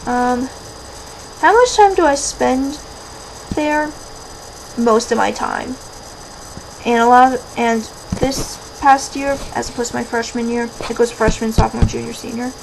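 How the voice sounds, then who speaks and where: A woman's voice: fairly high pitch, measured pace, long pauses. A woman in her twenties with an American accent, chatting casually to camera as a vlogger and rambling into asides. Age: 20s. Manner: rambling, self-interrupting asides. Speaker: vlogger answering viewer questions. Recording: clearly noisy background hiss and ambience.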